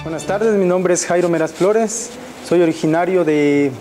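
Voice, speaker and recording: A young man in his twenties from Mexico, a seminarian sharing his testimony with hesitant pauses. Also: high voice, music in the background. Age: 20s. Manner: hesitant, many fillers and restarts. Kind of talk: personal testimony.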